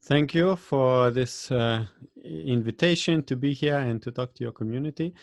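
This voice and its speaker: A man from Lithuania with a Lithuanian accent, speaking in a video-call interview, halting and full of "uh" fillers, with small grammar slips. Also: medium pitch, vocal fry, no room sound. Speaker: guest, event organizer. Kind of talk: casual interview chat.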